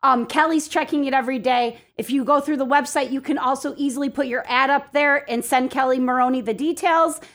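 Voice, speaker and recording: A woman's voice: sits high, fairly even intonation, a little fast, hardly any pauses, fairly bright tone. An American woman speaking as an interview guest, chatty and upbeat in a casual promotional style. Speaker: chamber of commerce president, interview guest.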